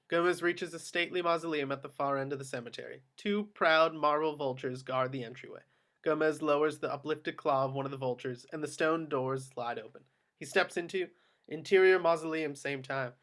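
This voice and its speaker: A man's voice: on the high side, fairly bright tone. A man reading scripted action descriptions as the narrator of a screenplay table read.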